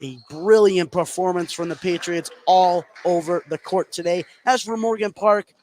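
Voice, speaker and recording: An American man doing live play-by-play basketball commentary for radio, excitable, narrating the action in short running phrases. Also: high-pitched, moderate pace. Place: indoor gym at a basketball game. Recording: noisy live sports broadcast.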